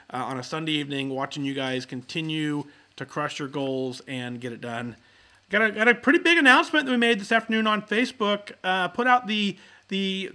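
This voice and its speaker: A male podcast host with a bright, forceful voice sitting a little high for a man. He chats casually and encouragingly with his live audience and often restarts his sentences as he goes. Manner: upbeat and encouraging, with frequent filler words and restarts. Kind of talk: casual live-show chat and announcements.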